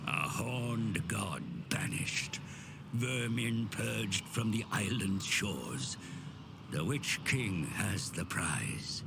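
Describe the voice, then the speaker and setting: A man voicing a grim, ominous narrator of dark fantasy game cutscenes, gravelly and theatrical, with breathy phrase endings. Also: on the low side, expressive, very noisy, heavily acted. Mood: grave, menacing. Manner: slow, theatrical, portentous. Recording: loud music under the voice.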